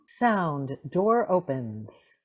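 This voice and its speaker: Lady reading stage directions super slowly, lowish voice, loud music with it. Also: sing-song. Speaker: reader of sound cues.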